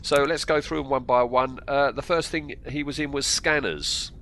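A man's voice: mid-range voice, steady tone, moderate pace, a bit bright. Man with a cold, noisy recording. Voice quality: bunged-up nose from a cold. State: has a cold. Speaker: podcast host. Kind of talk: casual film discussion reading out actor trivia.

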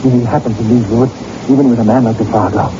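An actor playing a doctor unraveling into terror and defiance in an old-time radio horror drama, melodramatic and insistent. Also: male voice, mid-range voice, steady tone, fast, close to an everyday voice. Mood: shaken, insistent. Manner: melodramatic, insistent, repeating himself. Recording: muffled, very noisy old radio recording.

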